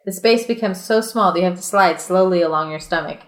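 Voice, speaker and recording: A woman podcast host reading a storybook aloud in an expressive way, with casual, playful asides. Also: on the low side, fast talker, reverberant. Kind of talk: storybook read-aloud mixed with casual chat.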